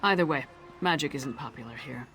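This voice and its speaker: A woman's voice: extremely low pitch, brisk, a bit bright. Fantasy game warrior woman, blunt, plain-spoken, hot-tempered, dutiful, music underneath. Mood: matter-of-fact. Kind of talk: scripted video game dialogue.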